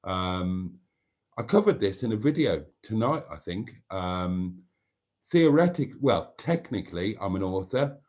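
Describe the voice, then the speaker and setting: A man's voice: sits low, animated, unhurried, darkish tone. A Welsh man, a native Welsh speaker, hosting a live stream and musing in a meandering way, with many fillers and restarts. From Wales. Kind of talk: casual live stream chat.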